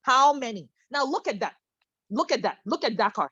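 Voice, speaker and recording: A woman from Cameroon speaks with a fairly high voice, heard through dull, lo-fi video call audio. She talks with passion, stumbling over fillers and restarts and pressing her listeners with rhetorical questions. The sound is dry and close. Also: big pitch swings, normal speed, fairly bright tone, slightly rough, no echo. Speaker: panel speaker.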